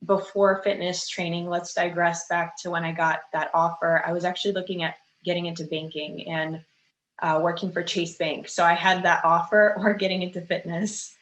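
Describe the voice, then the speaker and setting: A woman with a fairly low voice chats candidly as an interview guest. She sounds casual and a little giggly. The room is echoey, with faint noise behind her. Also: little pitch movement, moderate pace, a bit bright. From Chicago, United States. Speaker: fitness coach, interview guest.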